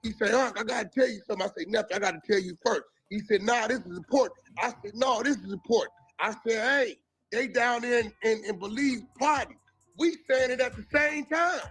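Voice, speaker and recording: A man's voice: very high voice, fairly quick, a bit bright, husky, faint music behind. An American man in a slangy, emphatic monologue, calling people out in a confrontational way.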